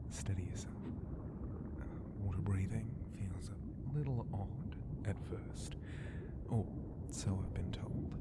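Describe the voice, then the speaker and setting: A man plays a proud, flirty god of the seas in an ASMR roleplay. The deity murmurs in a low, whispery voice. The delivery is slow and teasing, with the air of a huge, assured rescuer. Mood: soothing, teasing.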